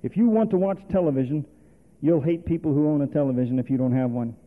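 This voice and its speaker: A man's voice: on the high side, fast talker. A male preacher, delivering a sermon full of rhetorical questions and calls for response. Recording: tinny phone-like sermon recording.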